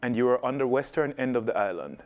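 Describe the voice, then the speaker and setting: A man's voice: medium pitch, expressive, fast. A man hosting a sports interview show, asking questions in a genial way and using local sayings. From Jamaica.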